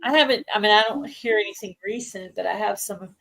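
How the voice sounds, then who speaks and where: A woman's voice: medium pitch, fairly quick, fairly bright tone. A married woman chatting casually on a livestream, friendly and agreeable. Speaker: livestream co-host. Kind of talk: casual chat.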